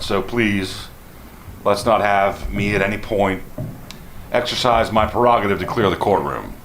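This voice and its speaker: Man playing a low-voiced, dry trial judge. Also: a bit bright, slight background noise, rough recording. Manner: unhurried, understated, hesitant pauses. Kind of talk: naturalistic courtroom audio drama.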